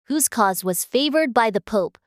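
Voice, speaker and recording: A woman's voice: on the high side, animated, unhurried, dry recording, studio quality. A woman voicing a self-important mouse who lectures the others in a storybook drama. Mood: lecturing. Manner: prim, lecturing.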